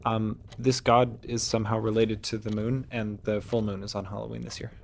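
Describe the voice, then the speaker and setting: A man's voice: lowish voice, flat delivery, fairly quick, vocal fry. A man playing a tabletop game, talking casually in character with the others at the table. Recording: faint background room noise.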